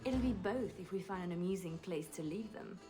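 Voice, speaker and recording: A woman's voice: fairly low pitch, a little fast, natural voice. An actress playing a playful, confident young female bard and rogue in a game cutscene. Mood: amused, cool. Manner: playful, cool, assured. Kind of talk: video game cutscene acting. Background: music.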